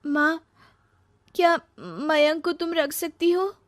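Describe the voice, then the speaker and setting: Woman doing a shrill, pleading young wife. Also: animated, slow pace, no echo, studio quality. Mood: tearful. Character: young married woman, emotional and whiny. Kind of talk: Hindi cartoon story voice acting.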